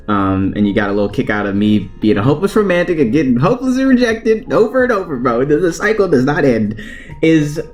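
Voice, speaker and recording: A young man talks in a casual storytime vlog style at a medium pitch. He is conversational and slangy, readily self-deprecating. Faint guitar music plays behind his voice. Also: a little mellow. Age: young adult. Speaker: vlogger.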